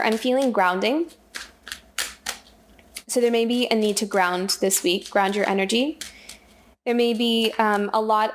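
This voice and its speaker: A woman doing a casual spiritual oracle card reading, talking in a soft, rambling way while losing her voice. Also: fairly high pitch. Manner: soft, rambling, repeats and restarts phrases. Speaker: tarot and oracle card reader.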